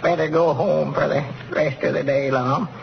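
Whiny rural Southern old shirker, highish creaky voice, folksy, muffled noisy vintage radio comedy. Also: male voice, steady tone, normal speed. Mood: feigning illness. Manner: folksy, fretful. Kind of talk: 1930s radio comedy acting.

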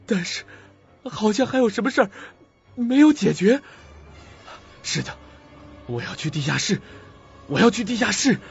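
A man's voice: high voice, very animated, moderate pace, gravelly, pauses often, husky, full character voice. An actor playing a terrified, sleepless man slipping into spiteful madness in a suspense radio drama, agitated and muttering to himself. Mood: panicked, frantic. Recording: dull lo-fi recording with loud-mixed music.

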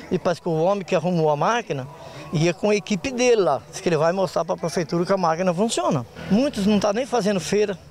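A man's voice: sits high, a little mellow, slight background noise. An older man with a Brazilian Portuguese accent, a market association president speaking plainly in a street interview into a handheld mic outdoors. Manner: plain-spoken, rural speech, repeats words. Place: open-air market lot outdoors. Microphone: handheld reporter mic.

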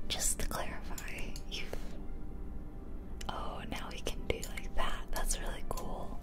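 A woman hosting ASMR in a soft, chatty whisper, speaking close to the microphone as she casually comments on a game. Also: unhurried. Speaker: ASMR video host. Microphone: close ear-to-ear ASMR microphone.

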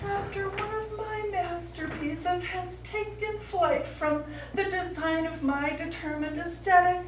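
A middle-aged actress playing a pompous, easily wounded woman painter on stage, in florid, grandiloquent style. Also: extremely high pitch. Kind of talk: live stage comedy acting. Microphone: distant audience camera. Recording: echoey small theatre, distant mic, faint noise.

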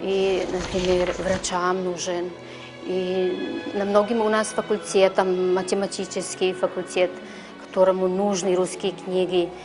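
Middle-aged teacher lady talking slow and careful in a classroom, music in the background. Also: lowish voice, level tone. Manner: deliberate, searching for words. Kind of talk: interview answer. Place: classroom, indoors.

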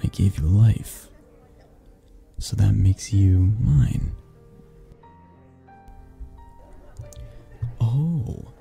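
A man playing a possessive, flirtatious mafia boss in a romantic audio roleplay, husky and gravelly, speaking with intimate condescension and long pauses. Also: on the low side, animated, dark tone, full character voice. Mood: possessive, angry. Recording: music behind the voice. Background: background music.

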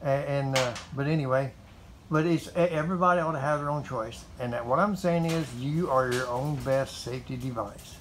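A man's voice: mid-range voice, normal speed, slight background noise, low-quality recording. Folksy older man rambling in a garage. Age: 70s. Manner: folksy, meandering, repeats himself with fillers. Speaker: motorcycle vlogger. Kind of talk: rambling casual monologue to camera. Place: metal carport garage, indoors.

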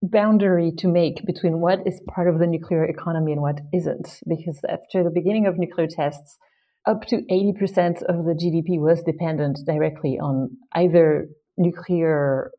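A woman who grew up in French Polynesia, speaking as a literature professor in an interview, earnest and measured. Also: deep voice, warm tone, low-quality recording. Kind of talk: interview answers.

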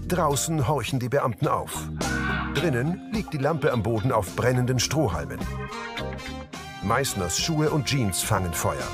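A man giving concise, neutral scripted narration of on-screen action as an audio describer. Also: medium pitch.

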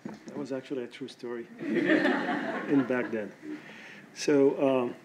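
A man in his thirties from Iraq telling a personal story from a podium on stage, pausing often with "uh" and "um". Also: medium pitch, steady tone, very noisy. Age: 30s. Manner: halting, with frequent "uh" and "um".

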